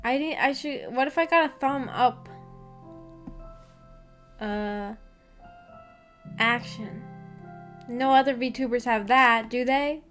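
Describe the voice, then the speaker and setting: A woman's voice: on the high side, slow pace, long pauses, a bit bright. A woman streamer chatting casually with viewers in a giggly, self-deprecating, rambling way. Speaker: VTuber streamer and host. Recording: background music behind the voice. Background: music.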